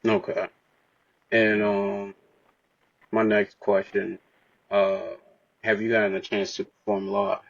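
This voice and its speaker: A man hosting a casual podcast interview, asking questions in a halting way with pauses. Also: lowish voice, completely flat delivery, lo-fi sound.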